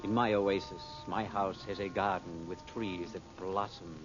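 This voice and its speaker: A fierce, romantic man of the desert, woos in a lowish voice. His speech is formal, poetic and intense in the style of a radio melodrama, on a muffled old recording with music underneath. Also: steady tone, a little slow. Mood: tender, ardent.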